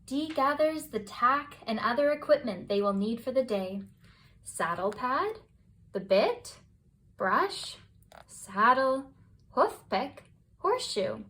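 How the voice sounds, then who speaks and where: A woman reading a children's picture book aloud as scripted bedtime narration, with expressive, deliberate phrasing. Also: high-pitched. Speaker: children's storybook reader.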